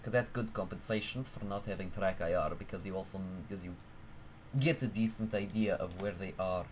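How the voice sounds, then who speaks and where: A man commentating his flight simulator game in a mock Russian accent, joking and rambling between bursts of excitement. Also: sits low, brisk, a little hiss or hum. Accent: put-on Russian accent. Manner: jokey, excitable, bursts of alarmed shouting. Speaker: gameplay commentator. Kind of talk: casual let's-play commentary.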